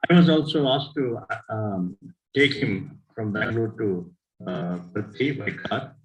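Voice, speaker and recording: An older man with an Indian accent whose first language is Tamil, telling personal stories at a devotional gathering in an unhurried, halting way and often correcting himself. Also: fairly low pitch, lo-fi sound. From southern India. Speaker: devotee sharing experiences. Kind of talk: personal storytelling talk.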